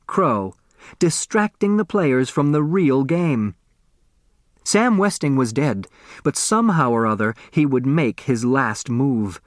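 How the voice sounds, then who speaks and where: Slow, measured male audiobook narrator. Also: medium pitch, no room sound, clean studio sound. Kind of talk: scripted dramatic story narration.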